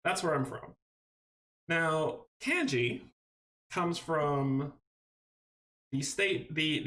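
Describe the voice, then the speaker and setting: A man's voice: mid-range voice, fairly bright tone. A young man from Guyana, hosting a casual live stream and answering viewers' questions in an upbeat, rambling way that often restarts its sentences. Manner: rambling, self-correcting, upbeat.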